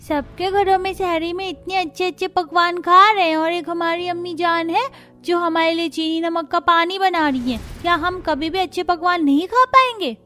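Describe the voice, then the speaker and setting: A woman's voice: very high-pitched, moderate pace, bright, forceful tone. A woman voicing a poor, plaintive young child in a cartoon story. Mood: sad complaining. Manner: plaintive, complaining. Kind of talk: Hindi cartoon story voice acting.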